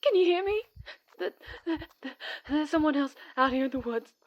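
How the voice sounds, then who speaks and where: A woman acts out a young woman in an ASMR audio drama, her voice on the high side. She speaks expressively and theatrically, as a seemingly friendly character who hides a sinister streak. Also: normal speed, lo-fi sound. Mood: panicked, frantic. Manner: stammering, expressive, dramatic delivery. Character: friendly young woman camper turned panicked, secretly sinister stalker.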